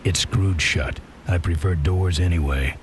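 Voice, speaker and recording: An actor playing a gruff, tough biker hero in a video game, speaking in a terse, dry, menacing deadpan. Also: male voice, deep voice, a little slow, noisy background.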